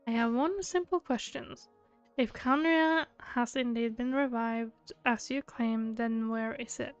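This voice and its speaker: A woman's voice: fairly high pitch, unhurried, clean tone, highs cut off, soft background music. A woman streaming a game playthrough, reading dialogue aloud and reacting casually. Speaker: gaming streamer.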